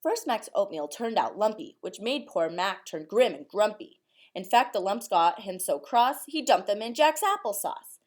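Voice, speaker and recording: A woman reading a rhyming picture book aloud expressively, in a measured sing-song rhythm. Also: medium pitch, animated.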